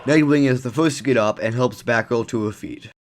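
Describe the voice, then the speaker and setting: A man's voice: medium pitch, fairly quick, noisy background. A man narrating a scripted audio drama.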